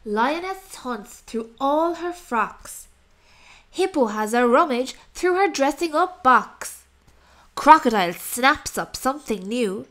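A woman narrates a rhyming picture book for children. Her voice is high and very animated, and she takes her time, leaning on key words for dramatic effect. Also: slow pace. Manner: expressive, dramatic emphasis. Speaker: storybook narrator.

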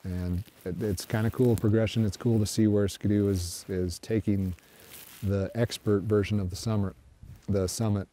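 A middle-aged man sitting on a snowmobile in the snow, giving a casual review to the camera in a relaxed, halting way with many restarts. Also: on the low side, a little hiss or hum. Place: outdoors on snowy flat by trees. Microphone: camera framed from a distance, seated on a snowmobile.

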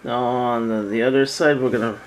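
A man giving a casual, halting product demonstration as a seller, often repeating himself, recorded indoors on a handheld camera. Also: medium pitch, steady tone, slight background noise, low-quality recording. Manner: halting, repetitive, plain-spoken. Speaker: online seller demonstrating an item. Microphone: handheld camera, speaker off screen behind it.

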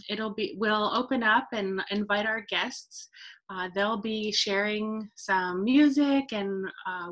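A woman's voice: medium pitch, a bit bright. A woman in her thirties speaking unscripted as the host of an online event, recorded on a video call. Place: indoor room, video call.

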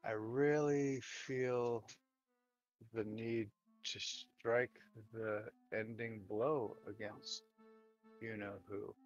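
A man playing a weary, devout, folksy dwarf warrior in an improvised audio drama, rambling and plain-spoken in a halting way. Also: fairly low pitch, fairly even intonation. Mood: earnest, resolved. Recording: dull lo-fi audio, music behind.

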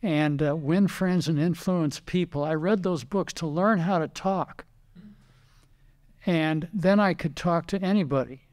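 A man's voice: on the high side, unhurried, a little mellow. An older American man reminiscing casually in an interview, telling anecdotes and acting out remembered dialogue. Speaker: retired racing driver and team owner, interview guest.

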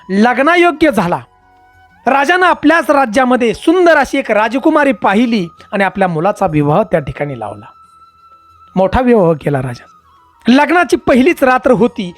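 Animated storyteller over music. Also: medium pitch. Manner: emphatic, repeats phrases, addresses listeners as friends. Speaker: storyteller, channel host. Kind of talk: moral storytelling narration.

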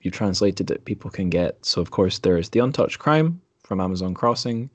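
A man's voice: low voice, expressive, moderate pace, no room sound. A man hosting a podcast in an informal, rambling, self-deprecating way. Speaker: podcast host. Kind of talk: casual podcast chat.

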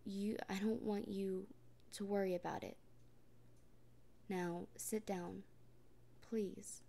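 A woman in a soft, gently reassuring comfort roleplay, often speaking in a whisper. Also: medium pitch, steady tone, slow, gravelly. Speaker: roleplay performer speaking as a partner.

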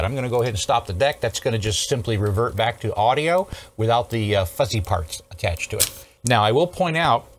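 A man in his sixties giving a casual, methodical hands-on technical demonstration as host, often checking in as he explains. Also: on the low side. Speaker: host, electronics restorer. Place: indoor workshop studio at a workbench.

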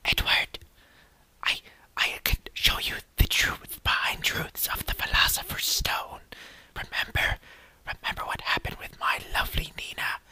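A man's voice: measured pace, sharp tone, rough recording. Man as a creepy whispering mad scientist. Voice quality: whispery, breathy. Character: creepy bespectacled male scientist, unhinged, whispering insinuations.

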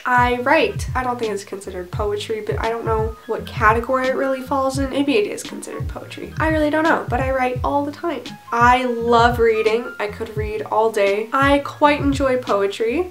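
Chatty teenage girl with a bright voice. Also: on the high side. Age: teens. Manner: chatty, rambling, self-deprecating. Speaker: vlogger. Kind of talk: casual chat to camera. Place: bedroom, indoors.

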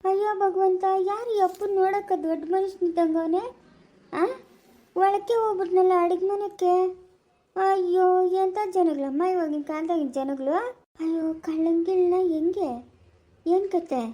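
A woman's voice: very high voice, steady tone, moderate pace. A woman or girl voicing a fussy, hard-of-hearing old grandmother who frets and calls out. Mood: alarmed. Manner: fretful, scolding, calling out loudly.

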